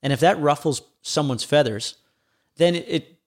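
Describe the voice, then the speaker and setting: A man's voice: a little high, unhurried. A middle-aged man hosting a podcast in casual chat, laid-back, profane and self-mocking. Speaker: podcast host.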